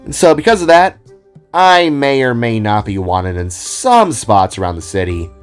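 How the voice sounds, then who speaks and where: Bold young heroine acted by a man, breezy and boastful, animated audio-drama delivery over music. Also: medium pitch, normal speed, clean tone, lightly acted. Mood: casual, confiding. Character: bold excitable young female guitar vigilante with flame powers. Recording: music behind the voice.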